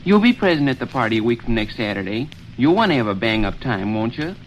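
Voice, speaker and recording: An actor playing an eager schoolboy son who argues in an earnest, folksy, persuasive way in a 1930s radio comedy. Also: male voice, mid-range voice, very animated, quick pace, dull audio, faint music behind, close to an everyday voice. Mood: eager, coaxing. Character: eager schoolboy son scheming to host a party. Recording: noisy 1930s radio recording.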